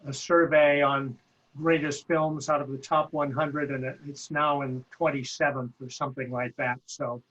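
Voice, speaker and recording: A man's voice: medium pitch, flat delivery, normal speed, fairly bright tone, dull audio. A man giving casual, informed commentary with digressive asides as a panelist. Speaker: panelist commenting on film history.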